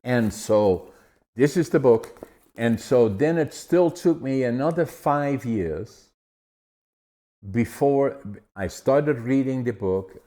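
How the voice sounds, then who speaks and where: An older man from the Netherlands with a Dutch accent, telling his life story in an interview with animated restarts. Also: medium pitch, slow. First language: Dutch dialect. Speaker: guest. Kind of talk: conversational interview.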